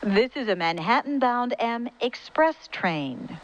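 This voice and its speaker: A woman reading scripted transit announcements in a measured, formal, segmented way. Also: a little high, lively intonation, rough recording. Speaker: train announcer.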